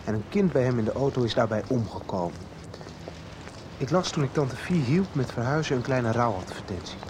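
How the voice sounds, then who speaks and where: A man's voice: mid-range voice, lively intonation, fairly quick, background noise, natural voice. A man playing an earnest, determined young truth-seeker in a radio soap. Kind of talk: 1990s radio soap acting.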